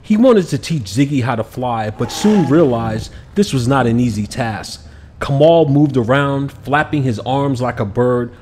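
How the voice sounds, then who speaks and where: A man's voice: mid-range voice, darkish tone. Man reading a storybook, noisy background. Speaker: storyteller reading a picture book aloud. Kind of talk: expressive scripted children's storybook narration.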